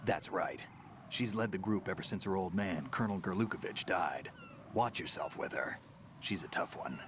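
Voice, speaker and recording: An actor playing a gruff, clipped middle-aged soldier speaking over a radio link in a game. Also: male voice, low voice, huge pitch swings, moderate pace, background noise.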